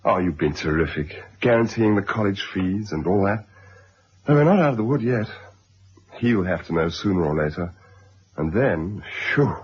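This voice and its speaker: An actor playing a flippant but affectionate young student son in a radio drama, with a breezy manner. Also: male voice, on the low side, lively intonation, moderate pace, a little hiss or hum, lightly acted. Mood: breezy, wry. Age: young adult. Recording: dull old radio recording.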